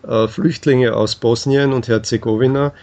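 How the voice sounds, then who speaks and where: A man is being interviewed. His voice sits at an average pitch. He explains things in a measured, halting manner, often hesitating as he goes. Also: fairly even intonation, darkish tone.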